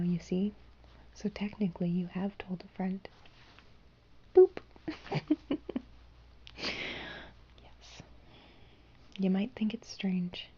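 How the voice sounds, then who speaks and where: A young woman voicing a gentle female fairy in human form, whispering softly and reassuringly. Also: medium pitch, highs cut off. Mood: gentle, playful. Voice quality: breathy whisper. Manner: soft soothing whisper, reassuring and hushing. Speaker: ASMR roleplayer. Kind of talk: whispered ASMR roleplay.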